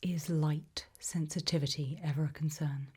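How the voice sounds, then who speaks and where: A woman whispering softly in an ASMR roleplay as a tailor, asking questions in a polite, measured, formal manner. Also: low-pitched, fairly even intonation. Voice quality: soft, breathy unvoiced whisper.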